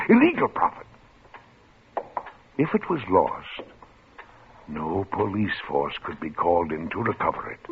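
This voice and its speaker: An actor playing a timid middle-aged bookkeeper who narrates his own story, hesitant and stammering, in old-time radio drama style. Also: medium pitch. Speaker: narrator and lead. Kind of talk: 1940s radio acting. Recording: tinny old radio broadcast with music.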